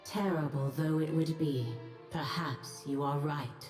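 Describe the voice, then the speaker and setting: An actress playing a solemn, foreboding goddess who speaks formally and portentously. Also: female voice, low voice, steady tone, measured pace. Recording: echoing voice over clear music.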